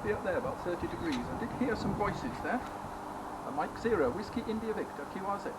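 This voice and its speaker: A man's voice: sits high, lively intonation, a little fast. A man speaking outdoors as an amateur radio operator, in a casual, practical style. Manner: spells call signs in phonetic alphabet. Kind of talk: casual outdoor explanation and radio call-outs. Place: outdoors, sheltered side of a house. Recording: very noisy outdoor recording.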